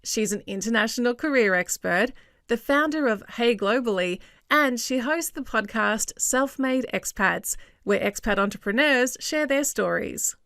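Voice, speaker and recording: A woman's voice: fairly high pitch, lively intonation, normal speed, fairly bright tone, no room sound, studio quality. A woman hosting a podcast interview, friendly and chatty as she introduces her guest and asks questions. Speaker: podcast host.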